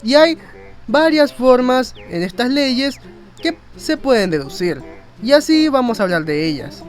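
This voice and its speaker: A man's voice: very high-pitched, sing-song, measured pace. A man narrates an informal explainer. He rambles conversationally and drops in self-mocking asides. Faint music plays behind his narration. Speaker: video narrator and graphic designer.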